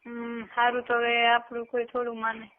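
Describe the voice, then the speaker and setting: A woman's voice: on the high side, completely flat delivery, normal speed, a crowd in the background. A woman with a Gujarati accent in a casual, private phone conversation, talking colloquially. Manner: colloquial, brief backchannels. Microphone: telephone line. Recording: tinny narrowband phone call recording.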